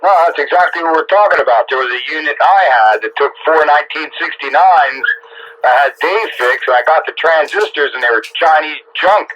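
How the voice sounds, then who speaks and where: Bright-voiced man chatting over two-way radio. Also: fairly high pitch, a little fast, a little hiss or hum. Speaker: amateur radio operator. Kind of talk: casual radio chat. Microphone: radio set microphone heard over air. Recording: muffled two-way radio transmission.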